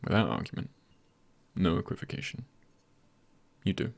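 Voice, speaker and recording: Low, very creaky hushed man doing ASMR. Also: big pitch swings, normal speed, no room sound. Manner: hushed, often dropping into a whisper. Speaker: ASMR creator. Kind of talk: ASMR, soft-spoken encouragement.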